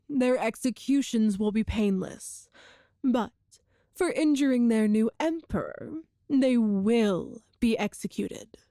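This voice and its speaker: A woman playing a cruel, seductive evil empress in an ASMR roleplay drama, speaking with imperious, deliberate menace. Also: on the high side, animated, mellow, dry recording, clean studio sound. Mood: cold command.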